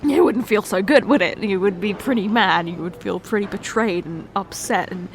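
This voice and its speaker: A young woman performing an emotional roleplay confession, halting and tearful, with many sighs and restarts. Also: mid-range voice, a little hiss or hum, rough recording. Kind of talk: emotional ASMR fiancée roleplay monologue.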